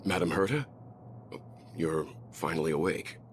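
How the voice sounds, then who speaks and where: An actor playing a calm, mature male space traveller in a video game, polite, measured and earnest. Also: very deep voice, noisy background. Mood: apologetic. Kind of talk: scripted video game voice acting.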